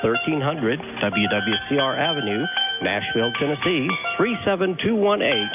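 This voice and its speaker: A man's voice: a little high, huge pitch swings, moderate pace. A man reading a scripted sign-on announcement for a radio station. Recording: muffled shortwave radio, very loud music.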